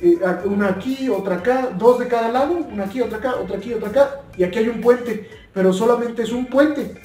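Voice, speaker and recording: A man's voice: extremely high pitch, brisk, a little mellow. A young adult man giving an informal, earnest tutorial as a video host, often repeating and rephrasing his points. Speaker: YouTube explainer host. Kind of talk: informal spoken tutorial on two-way radios. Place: small bare indoor room, seated at table. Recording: echoey room, distant mic, faint music.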